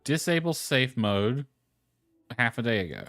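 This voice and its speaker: A man's voice: medium pitch, big pitch swings, unhurried, fairly bright tone. A man livestreaming a video game, talking casually to himself and reading game text aloud. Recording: music playing behind the voice. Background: lo-fi music.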